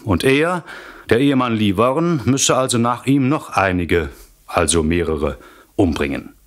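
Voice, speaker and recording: A man narrating a radio crime play as a scripted recap, wry and deliberate, with dramatic pauses and emphasis. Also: on the low side, expressive.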